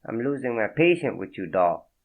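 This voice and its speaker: A man's voice: medium pitch, very animated, normal speed. A man playing a weary, tough-talking mobster who speaks bluntly and impatiently. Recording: tinny phone-like sound.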